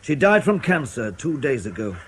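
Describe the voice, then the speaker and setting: An actor playing a blunt, sceptical intelligence interrogator in a radio drama, with a husky voice and a dry, insistent manner. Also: male voice, mid-range voice, big pitch swings, moderate pace.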